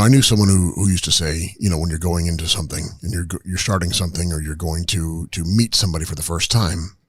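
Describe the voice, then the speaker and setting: A man playing a crude, arrogant retired wrestling tycoon on a comedy podcast, rambling with halting restarts. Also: deep voice, husky, no room sound, clean studio sound. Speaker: comedy podcast guest character.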